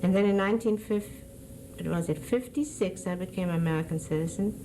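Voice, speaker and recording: A woman in her sixties with a German accent, born in Germany, recalling her past in an oral history interview, often pausing and searching for words. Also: on the low side, background noise.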